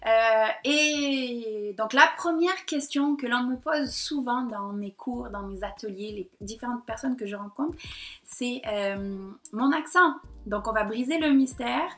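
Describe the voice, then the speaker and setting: A woman in her thirties from the south of France, her accent blended with Québécois, talking straight to her computer in a personal vlog. She speaks hesitantly, with frequent pauses and fillers. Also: on the high side, a bit bright. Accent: southern French mixed with Québécois. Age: 30s. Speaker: painter and blogger. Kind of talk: personal confiding vlog to camera. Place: at home in front of her computer. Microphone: computer or laptop mic.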